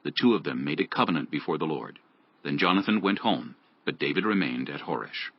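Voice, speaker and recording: A man's voice: rumbling low, animated, fairly quick, husky. A man narrating scripture as a scripted dramatized audiobook. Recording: dull lo-fi audio.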